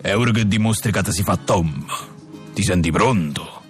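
An actor playing a jailed mob boss father with a Neapolitan accent, gruff and weary, in a comic radio drama. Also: low-pitched, moderate pace, faint music behind, lightly acted. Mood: exasperated.

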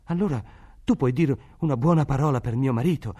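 A man's voice: mid-range voice, a little fast, dark tone, pitched above the performer's own voice, lightly acted. A man voicing a poor, desperate peasant mother with asthma, pleading anxiously.

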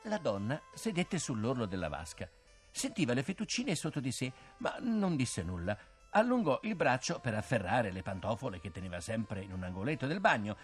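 An older male storyteller with an expressive reading voice. His pitch swings widely as he tells the tale, over faint background music. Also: moderate pace. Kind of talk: scripted fairy-tale narration.

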